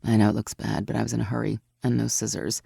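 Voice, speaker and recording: A woman voicing a strong, protective warrior woman who speaks low and soft in a storybook. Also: flat delivery, fast talker, gravelly, husky, no room sound, studio quality, heavily acted.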